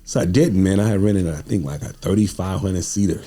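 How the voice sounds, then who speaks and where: A man's voice: low-pitched, a little fast, warm tone. A man in his forties telling stories casually as an interview guest, in earnest, informal, street-inflected talk. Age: 40s. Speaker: playwright and producer, podcast guest.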